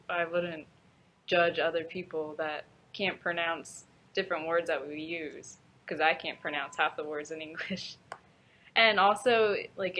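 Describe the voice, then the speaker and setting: A woman's voice: low-pitched, a bit bright, slight background noise, poor audio. A young woman, an undergraduate student, answering an interview question casually with lots of fillers. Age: young adult. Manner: casual, filler-heavy, likes.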